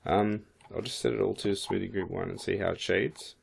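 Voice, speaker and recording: A man with a croaky voice, casually thinking aloud as he walks through a 3D modeling software tutorial. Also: deep voice, fairly even intonation, moderate pace, a little hiss or hum. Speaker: 3D modeling instructor. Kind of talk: screen-recorded software tutorial.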